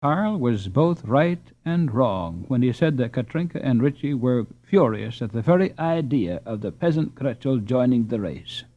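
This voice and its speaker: A man reading a classic children's novel aloud in an expressive, lilting storybook manner. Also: medium pitch, moderate pace, hardly any pauses. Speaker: audiobook narrator. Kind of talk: scripted storybook narration. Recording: dull lo-fi recording.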